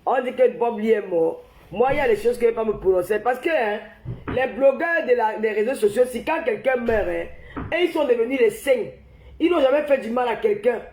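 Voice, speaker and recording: A woman's voice: fairly high pitch, animated, a little fast, a little mellow, roomy sound, a little hiss or hum, poor audio. A woman with the flu, hosting a casual phone livestream and ranting heatedly and repetitively straight at her viewers. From Cameroon. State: has the flu. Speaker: livestream host. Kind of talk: casual live-stream rant.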